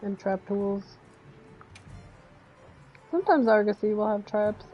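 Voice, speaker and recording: A woman's voice: medium pitch, takes it slowly, faint music behind. A woman chatting casually and grumbling sarcastically while playing a video game with her brother. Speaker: gaming streamer. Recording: dull lo-fi stream audio.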